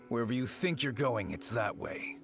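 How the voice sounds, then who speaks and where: An actor playing a cocky, sly petty bandit with a cowardly streak, sarcastic and theatrical, in a video game. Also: male voice, mid-range voice. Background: game music.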